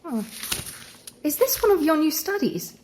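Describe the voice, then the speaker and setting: A playful young gentlewoman in a period audio drama. Her voice is fairly high and very expressive, taken at a slow pace. She is arch, curious and gently teasing in formal phrases. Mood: playful, interested. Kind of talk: theatrical audio drama acting.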